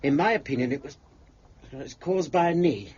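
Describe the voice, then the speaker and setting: A man's voice: a little high, brisk, darkish tone, breathy edge. An actor playing a flustered, earnest man in a period drama, speaking in a fussy, emphatic way. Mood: grave, shaken. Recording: dull, slightly muffled audio.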